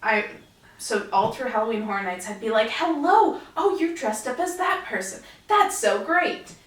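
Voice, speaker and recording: A young woman co-hosting a casual podcast, chatty and quick to laugh. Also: on the high side, lively intonation, far from the mic. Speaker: podcast co-host. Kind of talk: casual chat. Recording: echoey room, voice off-mic.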